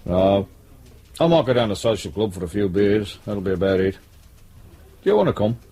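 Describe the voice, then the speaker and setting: A man's voice: lowish voice, very animated, fairly quick, mellow, husky. An actor playing a world-weary, sardonic English detective sergeant in his forties in a radio drama. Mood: dry, downbeat. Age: 40s.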